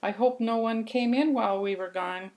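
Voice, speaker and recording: A woman's voice: on the high side, moderate pace, a little mellow, pitched above the performer's own voice, natural voice. Papa mouse in a storybook, fussy and raised, voiced by a woman. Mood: worried.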